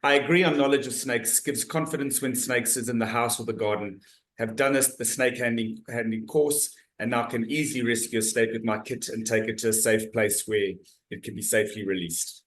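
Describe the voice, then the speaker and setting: A man's voice: medium pitch, steady tone, fairly quick, a bit bright. A middle-aged South African man hosting an online talk, reading out audience questions in a friendly, loose way with frequent restarts. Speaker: host and moderator of a talk series. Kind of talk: moderating online Q&A. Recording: roomy echo on a video call.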